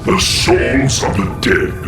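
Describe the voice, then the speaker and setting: An actor playing a grave, commanding god of the dead in a video game, speaking in a solemn, portentous manner. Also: male voice, mid-range voice, takes it slowly, close to an everyday voice. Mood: ominous offering. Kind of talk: dramatic video game voice acting. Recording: echoing, distant, under loud eerie music.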